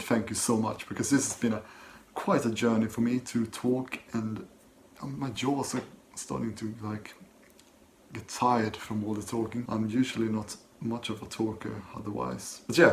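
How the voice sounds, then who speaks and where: A Swedish man with a Swedish accent, speaking English as his second language, who reads viewer questions aloud and answers them softly and hesitantly from his desk at home. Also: mid-range voice, a little hiss or hum. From Sweden. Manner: soft-spoken, hesitant, many ums and restarts. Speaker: book channel host. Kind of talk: casual Q&A vlog, reading and answering questions.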